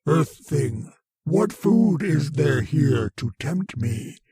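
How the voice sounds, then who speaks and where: An actor playing an ancient, arrogant alien mind in an old-time radio horror play, rasping and declaiming with pompous contempt. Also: male voice, a little high, animated, slow, warm tone, no room sound, exaggerated voice. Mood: hungry menace. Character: ancient arrogant alien mind, a menacing meteor-borne invader.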